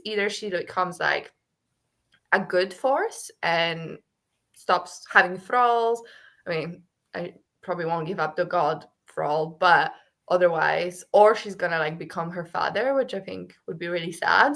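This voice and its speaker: A young woman co-hosting a casual book club discussion, chatting animatedly and filling her talk with "like". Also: fairly low pitch. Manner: chatty, heavy use of "like", animated.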